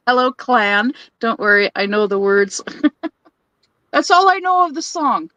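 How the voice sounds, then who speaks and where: A woman's voice: a little high, lively intonation, slow, pushed and bright, no room sound, muffled recording. A woman hosting a casual live stream while crafting, chatty and giggly, laughing between her rambling phrases. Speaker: livestream host.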